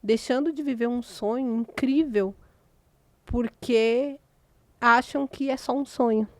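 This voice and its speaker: A woman's voice: fairly high pitch, slow, darkish tone, no echo. A Brazilian woman, a writer and mentor, speaking earnestly and motivationally as a podcast host. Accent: Brazilian Portuguese. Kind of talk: casual conversation.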